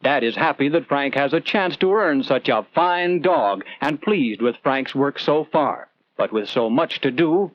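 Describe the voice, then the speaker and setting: Man, 1940s instructional film narration, earnest conversational storyteller, fairly high-pitched, dry, lo-fi vintage film sound. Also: dry recording. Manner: earnest, conversational, rhetorical questions to the viewer.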